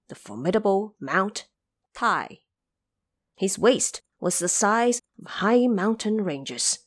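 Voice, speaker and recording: A woman narrator telling a scripted fantasy tale in a theatrical audio drama style, with deliberate dramatic emphasis. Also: lowish voice.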